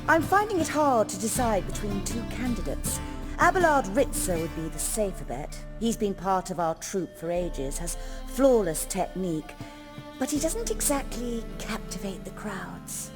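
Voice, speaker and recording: An actress playing a poised, refined stage performer in a video game, articulate and gently persuasive. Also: female voice, mid-range voice, animated, a little slow, close to an everyday voice. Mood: considering. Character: poised, refined theatre troupe woman, experienced stage performer. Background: music.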